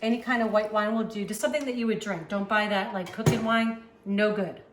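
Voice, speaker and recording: A woman's voice: mid-range voice, fairly even intonation, a little fast, roomy sound, noisy background. A woman from the Northeast US with a Northeastern accent hosts a cooking channel, chatting casually as she works in her home kitchen. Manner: chatty, upbeat, talks while working.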